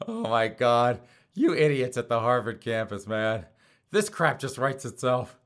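Hoarse male host, sarcastic, laughs through his lines, mid-pitched voice, casual mocking commentary. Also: lively intonation, measured pace. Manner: sarcastic, laughs often between phrases. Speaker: podcast host.

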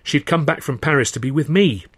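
A man with an English accent reads his own novel aloud in measured, first-person storytelling. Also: a little high, a bit bright, no echo. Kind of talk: dramatised audiobook narration.

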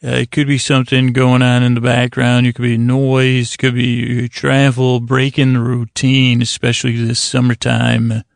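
A man hosting a sleep podcast, giving a meandering, stop-and-start bedtime monologue in a drawn-out, soothing delivery. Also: mid-range voice, completely flat delivery, creaky, no echo, studio quality. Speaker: podcast host. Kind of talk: rambling bedtime monologue to lull listeners to sleep.

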